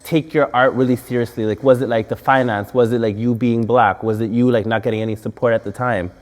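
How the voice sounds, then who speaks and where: A man's voice: medium pitch, steady tone, brisk, in a large hall. A man interviewing a guest, teasing and playful and full of exclamations. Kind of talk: casual interview chat.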